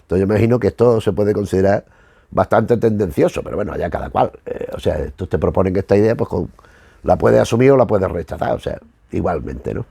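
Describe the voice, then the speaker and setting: A man's voice: low-pitched, expressive, fast talker, vocal fry, dark tone, husky, no echo. A middle-aged man with an accent from Spain, giving informal, rambling commentary as a host, often correcting and repeating himself for emphasis. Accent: Spanish from Spain. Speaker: host of a retro television review show.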